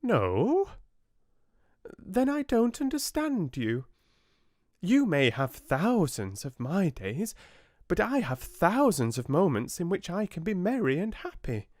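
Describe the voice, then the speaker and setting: A man's voice: high-pitched, wildly swooping pitch, slow, no echo, studio quality, pitched above the performer's own voice, heavily acted. A man voicing a tiny carefree mayfly in a storybook, innocent, curious and eager. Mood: curious, merry. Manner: bright, wondering, eager. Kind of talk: storybook character voice.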